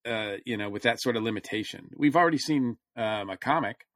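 A man's voice: medium pitch, brisk, a bit bright, no room sound. A man co-hosting a science fiction podcast, talking casually and often searching for his words mid-thought. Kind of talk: casual, fannish panel chat.

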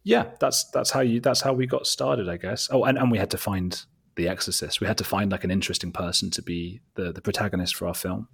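A man with a husky voice, a guest telling casual, rambling anecdotes in a conversational, informal way, often interrupting himself. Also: low-pitched, fairly even intonation, a little fast. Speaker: interview guest, documentary maker.

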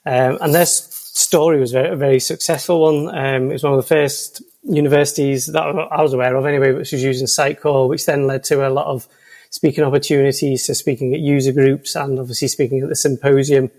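A man's voice: mid-range voice, flat delivery, brisk, a little mellow. A man with a Liverpool accent, a guest in a casual interview, talking hesitantly with many ums and restarts. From Liverpool, England. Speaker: podcast guest, senior consultant.

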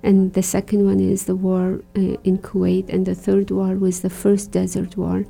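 A woman from Iraq, a religious sister, talking in an interview with a very dark, gravelly voice that sounds slightly husky. Her delivery is earnest and reflective, full of soft fillers and small pauses. Her pitch stays flat and even. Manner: reflective, earnest, many fillers like "you know". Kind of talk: conversational interview.

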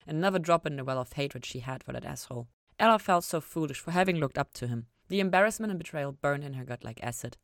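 Woman, expressive story narrator, lively pitch swings, dry close sound. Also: fast talker, no room sound. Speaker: reader of a fan fiction podcast.

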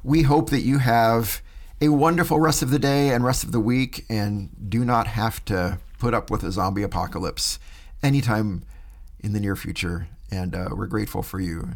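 A man co-hosting a podcast in casual chat, agreeable and quick to affirm, thanking listeners. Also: fairly low pitch. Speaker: podcast co-host.

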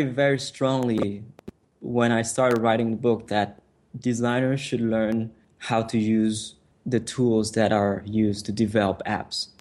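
A man whose first language is French, answering interview questions in a quick, conversational way with frequent restarts. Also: mid-range voice, darkish tone. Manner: fast, fluid, with frequent restarts and "like". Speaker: author and designer, interview guest.

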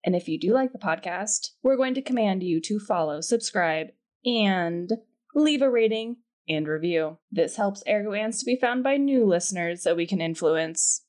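Woman reading a podcast announcement, mid-pitched. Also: expressive, moderate pace, darkish tone. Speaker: podcast co-creator and host.

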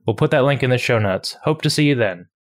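A man's voice: mid-range voice, expressive, fast, loud music behind the voice. A man, the podcast's producer, reading a friendly scripted announcement to listeners.

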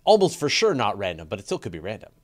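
Lower-voiced man hosting a science interview. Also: huge pitch swings, fast. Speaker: podcast host, interviewer.

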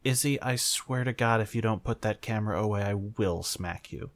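A grumpy, exasperated teenage boy who grumbles through his lines, read by a man in his own low voice. The tone is weary and put-upon. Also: normal speed, close to an everyday voice. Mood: threatening.

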